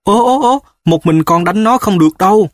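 Storybook old village mother, tearful and fretful, put on by a man reading; pitched well up, dry close sound. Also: animated, measured pace, no echo. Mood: alarmed. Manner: pleading, wailing calls.

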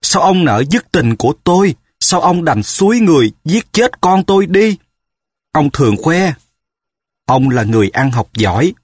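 A man's voice: mid-range voice, unhurried, breathy edge, dry recording, clean studio sound. A man with a Southern Vietnamese accent voicing a grieving middle-aged mother who bitterly reproaches her husband in raised, pleading outbursts. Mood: bitter accusation.

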